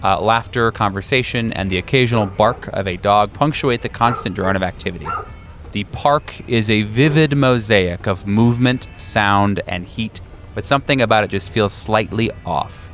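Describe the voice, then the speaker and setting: A man's voice: fairly low pitch, normal speed, fairly bright tone, faint music behind. A man acting as game master, describing scenes and guiding players in a tabletop roleplaying game.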